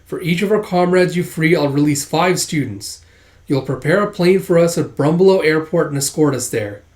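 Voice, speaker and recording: A man's voice: fairly high pitch, moderate pace, a little mellow, echoey room, pitched above the performer's own voice, natural voice. A man voicing a menacing hijacker ringleader from a manga, barking curt threats and demands. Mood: demanding. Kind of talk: manga read-aloud.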